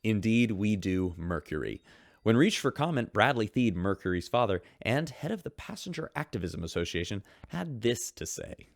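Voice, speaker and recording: A man hosting a radio show in scripted narration, with smooth broadcaster delivery. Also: on the low side, big pitch swings, moderate pace, dry recording, clean studio sound.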